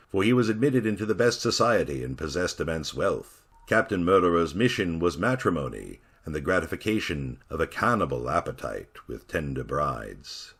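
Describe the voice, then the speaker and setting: A man narrating a Victorian ghost story in a theatrical, expressive storyteller manner. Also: low voice, husky.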